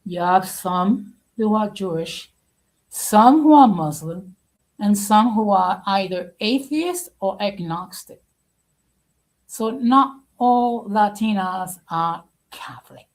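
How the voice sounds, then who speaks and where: A woman host giving an informal opinion talk in a halting, deliberate and emphatic way, often repeating her phrases. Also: medium pitch, animated, low-quality recording.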